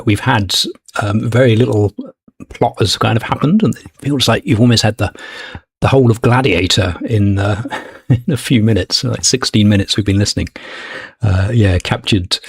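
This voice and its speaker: A man hosting a livestream in casual unscripted chat, musing and halting as he goes. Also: on the low side, husky, no room sound. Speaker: host of a listening club livestream.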